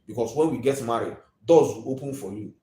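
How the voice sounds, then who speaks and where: A young girlfriend who pleads and coaxes, stretching out her words, as a Nigerian man mimics her. She sounds only a touch higher than his own voice. A roomy echo surrounds the voice. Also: brisk, mellow, lightly acted. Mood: pleading.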